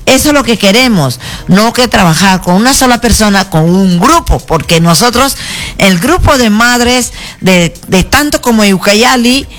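Earnest Shipibo-accented woman, halting speech. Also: on the high side, expressive, a bit bright. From Peru. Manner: earnest, halting, frequent "¿no?" tags and restarts. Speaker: artisan association president, guest. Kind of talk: radio interview.

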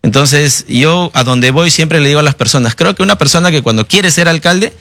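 A man's voice: fairly high pitch, a little fast, a bit bright. A man in his thirties, a mayoral candidate, answering interview questions in an earnest, self-assured way. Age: 30s.

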